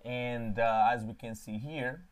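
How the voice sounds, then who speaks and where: A man from Italy with an Italian accent, hosting a casual live stream about crypto trading and pausing often to fill gaps. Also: mid-range voice. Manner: halting, frequent filler pauses. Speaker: crypto trading channel host.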